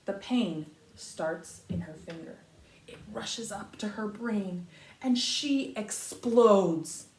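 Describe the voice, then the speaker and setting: A woman reading a picture book aloud to young children like a teacher, with expressive, emphatic phrasing and explanatory asides. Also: mid-range voice, slow pace. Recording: echoey room, faint background noise.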